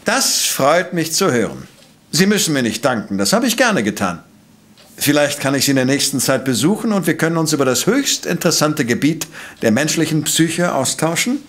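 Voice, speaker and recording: High-pitched, conceited professor-detective. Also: male voice, big pitch swings, normal speed, fairly bright tone. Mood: courteous. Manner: pompous, precise, lecturing. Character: brilliant, conceited male professor-detective, cool and exacting. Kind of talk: German audio drama acting.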